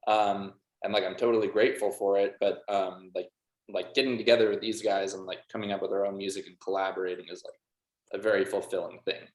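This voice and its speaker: The recording is noticeably roomy and echoing. A man with a lowish voice talks casually as a guest musician and producer, in a loose conversational style. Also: level tone, a little fast, darkish tone. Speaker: band member and music producer, interview guest. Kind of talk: casual chat. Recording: roomy, echoing room sound.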